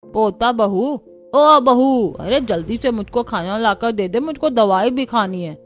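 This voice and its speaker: A woman voicing a bossy elderly grandmother-in-law in an animated family story, scolding and imperious. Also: on the high side, unhurried. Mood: demanding, impatient. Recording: music bed under the voice.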